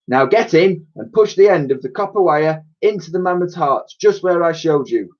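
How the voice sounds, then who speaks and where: A man's voice: fairly high pitch, animated, moderate pace, dull audio, voice pushed up. A man voicing an impatient, bossy old professor in a storybook, scolding and commanding with urgency.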